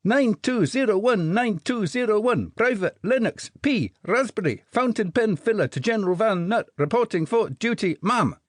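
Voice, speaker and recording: A man doing a tiny flying jelly-baby private, eighteen and eager, with a thick Scottish accent and a raised, husky voice. He rattles off his words in a brisk, military staccato, as in a read-aloud storybook. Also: sits high, lively intonation, dry recording, studio quality, voice pushed up. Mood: dutiful.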